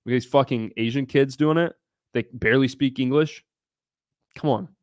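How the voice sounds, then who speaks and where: A man's voice: medium pitch, normal speed, no room sound, clean studio sound. A young man from Southern Ohio hosting a casual livestream, answering viewers' questions in a brash, blunt way and swearing freely. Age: young adult. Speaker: livestream host.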